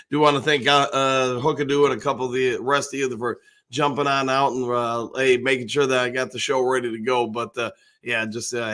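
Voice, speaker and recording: Hoarse, folksy older man. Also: medium pitch, fairly bright tone. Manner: rambling, folksy, lots of fillers. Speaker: host. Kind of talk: casual live-stream chat.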